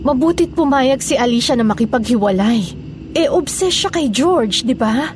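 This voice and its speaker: A woman's voice: high voice, animated, moderate pace, darkish tone. A woman playing an anxious wife in a Tagalog horror audio drama, insistently questioning her friend for the truth, with a noisy background. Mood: shocked, questioning.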